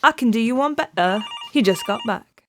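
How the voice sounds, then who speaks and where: Female actor as cab office clerk, radio drama: higher female register, brisk and obliging, slight background noise with phone sounds. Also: lively intonation, fairly bright tone. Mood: helpful, brisk. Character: brisk, helpful woman cab office dispatcher. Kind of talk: audio drama acting. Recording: faint noise, office telephone ringing.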